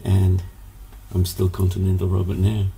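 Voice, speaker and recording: A man's voice: deep voice, monotone, normal speed, vocal fry, warm tone. A man, born in Hungary, telling stories as a musician guest in a casual interview, rambling with many fillers and restarts.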